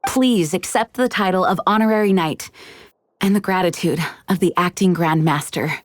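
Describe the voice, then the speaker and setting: A woman playing a dutiful knight commander in a game cutscene, measured and formal, sometimes sighing. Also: on the low side, close to an everyday voice. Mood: earnest.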